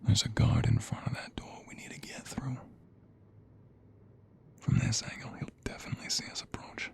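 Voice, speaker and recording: A man playing a tender, protective mobster fiancé in an ASMR roleplay, hushed and intimate, reassuring the listener in a breathy murmur. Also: moderate pace, lightly acted. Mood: hushed, focused. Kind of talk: ASMR roleplay audio drama.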